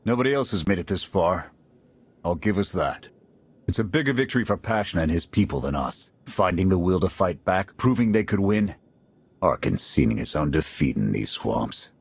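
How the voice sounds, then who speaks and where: A man playing a blunt, gruff military squad commander in a video game. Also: sits low, lively intonation, moderate pace, full character voice. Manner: gruff, blunt soldier talk.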